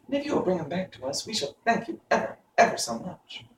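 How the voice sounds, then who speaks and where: A man's voice: high voice, very animated, quick pace, pitched above the performer's own voice. A man voicing an earnest, friendly young girl, eager and polite, in a storybook reading. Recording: roomy, distant, faint noise.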